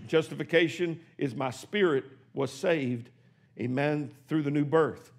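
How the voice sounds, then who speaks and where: A man in his sixties preaching a sermon in a church, swinging from hushed, quick asides to shouted exclamations. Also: a little high, vocal fry. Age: 60s. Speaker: pastor. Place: church sanctuary.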